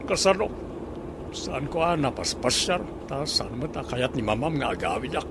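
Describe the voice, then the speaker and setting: A man's voice: mid-range voice, big pitch swings, moderate pace, very noisy. A stubborn old Ilocano father. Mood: firm. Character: aging stubborn father set on returning home. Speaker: radio drama actor. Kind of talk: radio drama acting.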